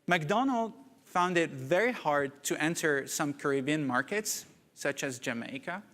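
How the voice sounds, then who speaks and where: A man from Morocco giving a prepared keynote talk at a conference, measured and deliberate. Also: on the high side, a bit bright. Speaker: conference speaker. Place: conference hall. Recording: reverberant conference hall.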